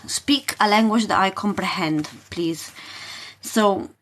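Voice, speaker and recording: A woman hosting a live tarot session, talking informally and haltingly to viewers, often asking questions and repeating her phrases. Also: medium pitch, very noisy.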